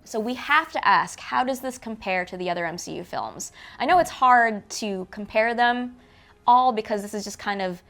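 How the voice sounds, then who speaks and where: A woman's voice: a little high, lively intonation, normal speed, a bit bright. A woman co-hosting a casual film discussion with an enthusiastic, chatty way of talking. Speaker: co-host of a movie review show.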